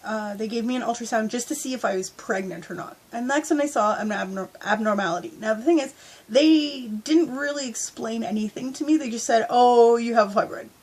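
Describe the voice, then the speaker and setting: A woman's voice: a little high, a little fast, darkish tone, breathy edge. A young woman vlogging from her bed on a handheld phone, talking candidly with lots of fillers, stuffy from a head cold. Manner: chatty and candid, with frequent fillers. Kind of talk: casual storytime vlog. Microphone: handheld phone at arm's length.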